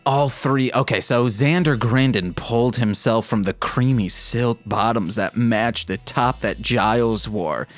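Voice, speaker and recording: A man's voice: medium pitch, unhurried, few pauses, faint music behind. A man hosting a podcast, reading fan fiction aloud in an irreverent way and breaking off into casual, joking asides to listeners. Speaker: podcast host.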